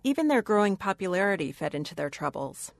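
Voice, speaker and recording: A woman's voice: medium pitch, very animated, fast, vocal fry, dry recording, studio quality. A woman radio reporter reading scripted narration for a feature story.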